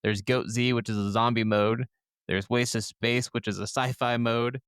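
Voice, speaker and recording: A man with a clear, smooth voice of medium pitch co-hosts a casual gaming podcast. He talks informally and agreeably. The recording is dry and free of room sound. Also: fairly even intonation, moderate pace, a bit bright, no echo. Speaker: podcast co-host.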